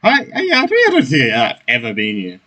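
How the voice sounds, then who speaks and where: Lively casual male gamer. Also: medium pitch, fast. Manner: rambling, informal. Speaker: gaming streamer. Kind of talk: casual playthrough commentary. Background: game music.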